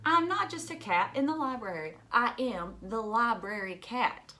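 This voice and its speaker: A woman's voice: on the high side, lively intonation, normal speed, pushed and bright. A woman voicing a friendly, earnest male library cat in a children's storybook, with wide-eyed exclamations. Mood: earnest, determined.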